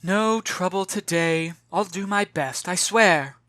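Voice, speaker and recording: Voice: medium pitch, slow, a bit bright, no room sound, pitched above the performer's own voice. Narrator acting a headstrong twelve-year-old storybook girl. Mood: contrite.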